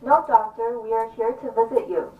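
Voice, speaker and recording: Lady doing friendly earnest puppet show character, highish voice, kinda far from the mic. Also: steady tone, moderate pace, warm tone, natural voice. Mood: grateful. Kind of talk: scripted puppet show acting. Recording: echoey distant dull stage recording with music.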